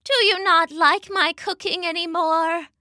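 A woman voicing a kindly, slightly sly old wife in a children's story, with a drawn-out, coaxing way of talking. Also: very high-pitched, huge pitch swings, sharp tone, no room sound, studio quality, pitched above the performer's own voice, exaggerated voice. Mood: hurt, pleading. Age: older. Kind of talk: acted children's storybook character.

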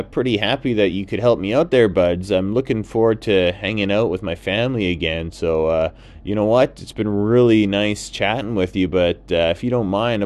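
A man plays a friendly storybook goose with a folksy Canadian accent. The voice is pushed up high and loud, rambling along politely and hesitantly in a bumbling way. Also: lightly acted. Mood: grateful, cheerful. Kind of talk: children's bedtime storybook character.

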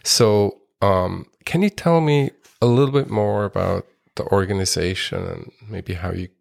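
Swiss-born man host, deep gravelly voice. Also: measured pace, a little mellow. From Switzerland. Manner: friendly backchanneling, frequent laughs and fillers. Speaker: podcast host. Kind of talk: casual interview conversation.

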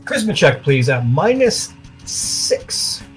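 A man's voice: a little high, sing-song, slow. Male game master over guitar music. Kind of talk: improvised tabletop game narration. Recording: music playing under the voice. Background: plucked guitar music.

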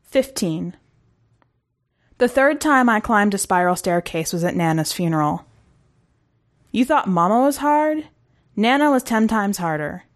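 A woman reading a first-person short story aloud in a conversational, wry way. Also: mid-range voice. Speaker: story narrator.

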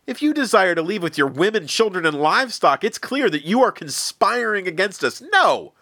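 A man voicing an enraged, arrogant Egyptian king who sneers and shouts. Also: big pitch swings, moderate pace, exaggerated voice. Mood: sneering. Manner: sneering, shouting, high-pitched outbursts.

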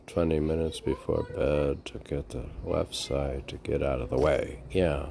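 Slow, low-voiced man with a gravelly creak. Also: background noise. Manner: musing, asks and answers his own questions. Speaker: poetry reader and commentator. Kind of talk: casual rambling commentary and poetry reading.

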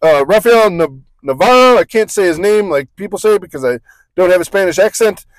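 Man, podcast co-host, casual rambling sports chat, self-correcting, highish voice, dry close sound. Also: lively intonation, brisk, no room sound, poor audio.

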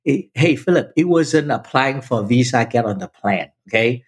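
A man's voice: mid-range voice, expressive, moderate pace, husky. A man in his fifties from Vietnam, a native Chinese speaker, telling his story emphatically as a podcast guest and often addressing the host directly. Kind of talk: personal storytelling in conversation.

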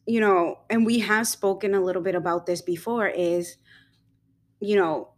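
A young woman co-hosting a casual podcast, talking in a rambling way with many fillers and quick giggles. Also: mid-range voice, steady tone. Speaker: podcast co-host.

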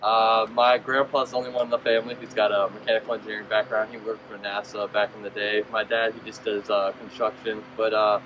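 A young man from Virginia talks casually and quickly. His voice is low and flat. A faint hum sits behind him. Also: rough recording. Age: young adult. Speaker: graduating engineering student.

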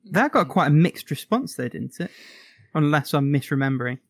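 A man's voice: a little high, expressive, normal speed, vocal fry. A man from the UK with a British accent, chatting casually as a podcast co-host. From United Kingdom. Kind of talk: casual chat.

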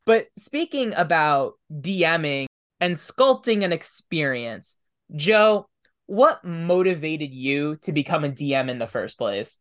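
The voice is a podcast host's, sitting at a middle pitch. The delivery is casual and conversational, with a reflective habit of thinking aloud as ideas are worked through. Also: sing-song, slow pace. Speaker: podcast host; tabletop game master. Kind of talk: conversational interview, casual chat.

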